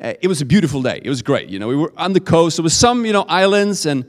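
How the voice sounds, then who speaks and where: A man from Sweden, a native Swedish speaker, preaching a sermon in English in a conversational, halting way with frequent restarts. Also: fairly high pitch, expressive. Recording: reverberant church hall.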